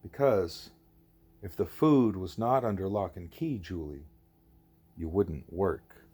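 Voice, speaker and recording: Man reading as a deliberate, emphatic gorilla teacher. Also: deep voice, warm tone, husky, voice pushed down, heavily acted. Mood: emphatic, grave. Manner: deliberate, didactic, emphatic on key words.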